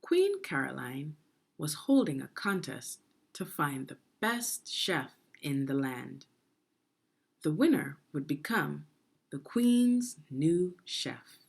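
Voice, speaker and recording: A woman reading a children's story aloud in a deliberate, expressive teaching manner, putting questions to the listener. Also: sits low, gravelly, husky. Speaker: education consultant reading a children's book aloud.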